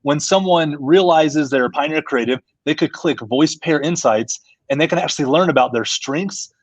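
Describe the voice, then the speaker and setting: A man's voice: on the high side, normal speed, no echo. A man hosting a business live-stream discussion as company CEO, asking questions and walking through a screen share.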